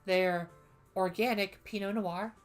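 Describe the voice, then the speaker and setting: A woman streamer chats loosely and playfully as she plays, wandering off on tangents. Her voice is mid-pitched, and faint game music sits underneath. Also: fairly even intonation, takes it slowly. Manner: chatty, playful, rambling. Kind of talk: casual gameplay commentary.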